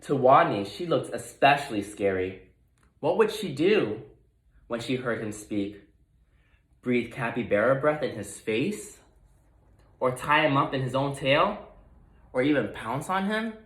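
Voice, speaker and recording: A man narrates a children's storybook expressively. He takes it slowly, in a medium-pitched voice. The room adds a clear echo. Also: expressive, fairly bright tone. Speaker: storybook reader.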